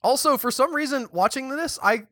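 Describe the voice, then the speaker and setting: Podcast co-host, young man, bright tense tone, dry close mic. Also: extremely high pitch, normal speed, dry recording. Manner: chatty, animated, restarts and stumbles mid-sentence. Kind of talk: casual chat.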